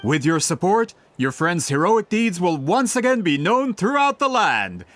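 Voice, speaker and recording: Polite scheming gentleman, lightish high male voice, very theatrical and flattering, video game acting. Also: unhurried, full character voice. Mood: persuasive, flattering. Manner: polished, flattering, theatrical, formal. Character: suave, scheming gentleman employer and collector, falsely courteous.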